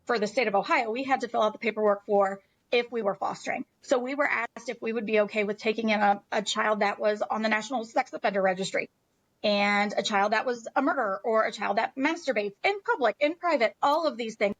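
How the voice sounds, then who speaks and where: A woman's voice: on the high side, a little fast, rough recording. An American woman telling a personal story as an interview guest in a candid, emphatic, conversational way.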